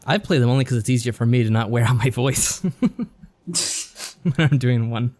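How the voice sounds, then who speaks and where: A man with a medium-pitched voice talks as a podcast co-host. His delivery is casual, conversational review chat. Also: normal speed, darkish tone.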